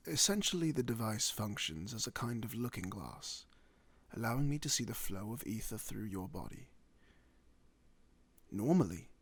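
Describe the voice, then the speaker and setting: A man playing a courteous werewolf doctor in a fantasy ASMR roleplay, speaking formally and reassuringly with old-fashioned wording and a husky voice. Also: on the low side, dry recording, exaggerated voice.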